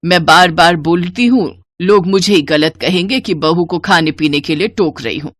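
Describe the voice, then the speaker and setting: A woman's voice: fairly low pitch, normal speed, fairly bright tone, no echo. A woman voicing a caring but long-suffering older Indian mother-in-law in a moral story, admonishing and explaining. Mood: weary scolding. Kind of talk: dramatised Hindi moral story.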